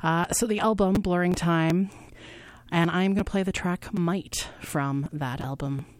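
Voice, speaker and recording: A woman hosting a community radio music show, relaxed, with small slips and restarts as she introduces songs. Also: fairly low pitch, unhurried, no room sound. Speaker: radio host. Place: radio studio.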